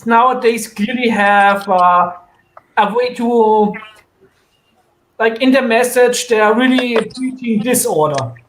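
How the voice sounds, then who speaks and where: A man chatting casually and giving opinions on a podcast panel, halting as he searches for his words. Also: very high-pitched, a bit bright, reverberant, a little hiss or hum, rough recording.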